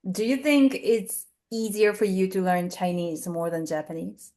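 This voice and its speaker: A woman hosting a casual question-and-answer talk, asking questions in a halting way as she searches for words. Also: mid-range voice, fairly even intonation, warm tone. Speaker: event host. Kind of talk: casual interviewing in English.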